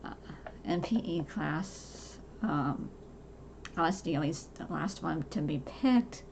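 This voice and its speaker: Woman in her forties, soft low gravelly voice, halting personal chat, faint noise behind. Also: animated, low-quality recording. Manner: halting, many fillers and restarts. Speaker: podcast host. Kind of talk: casual personal talk to camera.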